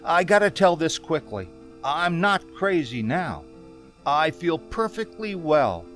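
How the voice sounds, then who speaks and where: A man playing an anxious man in his thirties in an old-time radio drama, speaking haltingly. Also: on the high side, big pitch swings, a bit bright, faint music behind, heavily acted. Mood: anxious, urgent. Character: anxious 36-year-old man recounting a haunting road trip.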